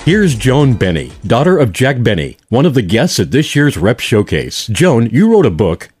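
A man's voice: medium pitch, wildly swooping pitch, normal speed, a little mellow, slightly rough, no room sound, faint music behind. A man hosting, introducing a guest and asking questions in a presenter's style. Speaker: host. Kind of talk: scripted introduction and interview question.

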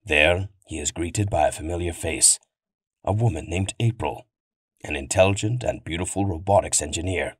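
A man's voice: sits low, normal speed, slightly rough, dry recording, clean studio sound. A man giving dramatic scripted storytelling narration, as the narrator of an audio drama. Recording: loud music behind.

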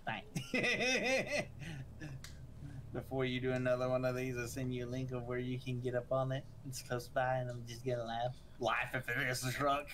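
A man's voice: mid-range voice, moderate pace, a bit bright, a little hiss or hum. A man joining his wife's game session, teasing her and giving directions. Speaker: co-commentator, husband. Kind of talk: casual gameplay chat.

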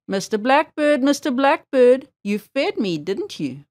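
A sly, hungry he-fox from a children's folktale, played by a woman reader. He wheedles and demands in insistent questions, with a lively, swinging melody to the voice. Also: high voice, unhurried. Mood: demanding, sly.

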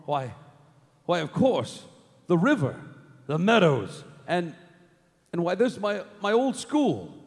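An actor playing a fearful, regretful old miser in a staged radio play, with a theatrical, halting delivery. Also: male voice, sits high, very animated, heavily acted. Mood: wistful. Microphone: stand microphone. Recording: large theatre PA with long reverb.

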